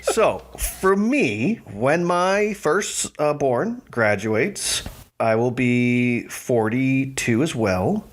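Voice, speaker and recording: A man's voice: medium pitch, big pitch swings, extremely slow. A man in his thirties co-hosting a casual podcast, retelling scenes with lots of fillers and frequent laughter. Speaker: podcast co-host. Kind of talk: casual chat.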